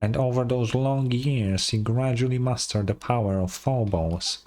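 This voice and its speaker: A man's voice: medium pitch, unhurried, a little mellow, natural voice. A man voicing a grave, formal ancient video game character with a solemn, archaic way of speaking. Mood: grave, reflective. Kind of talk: video game dialogue read aloud.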